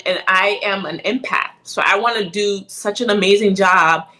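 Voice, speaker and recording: A middle-aged woman from Ghana, a podcast guest talking in a casual interview, animated and often ending with "right?". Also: lowish voice. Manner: animated, frequent "right?" tag questions.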